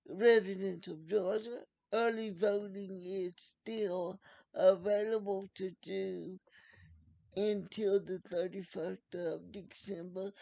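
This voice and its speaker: A man's voice: high voice, gravelly, dark tone. A young man from North Carolina, speaking earnestly and unhurriedly to camera, often repeating himself. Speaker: video blogger. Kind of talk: casual direct-to-camera talk.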